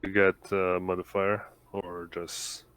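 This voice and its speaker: Male tabletop player, crisp smooth lowish voice, dry sound, informal clipped remarks. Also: little pitch movement, slow, a bit bright, no room sound, low-quality recording. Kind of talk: casual game chat.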